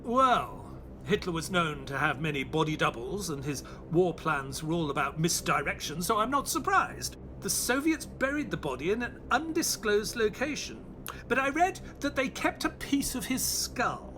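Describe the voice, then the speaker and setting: An actor playing an earnest, curious doctor and loyal sidekick in an audio drama, polite, questioning and easily astonished. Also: male voice, high-pitched, sing-song, normal speed, noisy background. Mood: puzzled, thoughtful. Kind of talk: audio drama acting with first-person narration.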